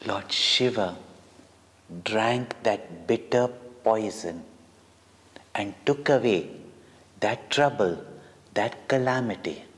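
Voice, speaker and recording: Slow-speaking Indian man in a reverberant hall. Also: on the low side, fairly bright tone. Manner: deliberate, dramatic, with short pauses between phrases. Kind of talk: devotional storytelling for children. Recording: long reverberant hall echo.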